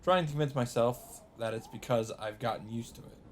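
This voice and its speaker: A man reading a visual novel script aloud as dramatic narration, with deliberate, drawn-out phrasing. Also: mid-range voice, a little hiss or hum. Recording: music under the voice. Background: music.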